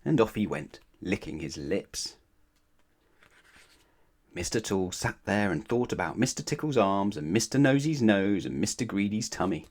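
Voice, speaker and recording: A male storyteller reads a picture book aloud for children. His voice sits fairly low and moves through a wide, animated range as he narrates expressively. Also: moderate pace.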